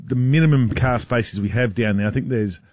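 A man with a deep voice tells a story on breakfast radio, chuckling as he goes. His voice is a little husky and sits at a middling pitch. It sounds like a studio microphone. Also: a little fast, darkish tone, dry recording. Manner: laughing while telling a prank story. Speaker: radio co-host. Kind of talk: storytelling banter.

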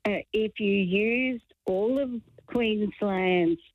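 A woman's voice: medium pitch, takes it slowly, no room sound. A chatty woman, calling in to a radio show and telling an indignant story. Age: 70s. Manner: chatty, indignant, self-deprecating. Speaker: radio caller. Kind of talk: phone-in chat. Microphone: telephone. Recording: tinny phone line.

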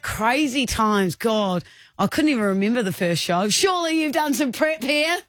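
Lively playful woman radio host. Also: a little high, moderate pace, dry recording, clean studio sound, soft background music. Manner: playful, laughing, teasing. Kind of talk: casual radio banter.

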